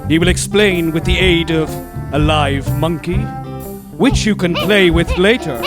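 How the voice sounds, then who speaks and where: A man performing poetry theatrically in a measured bedtime-story manner, as if speaking to small children. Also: a little high, music in the background.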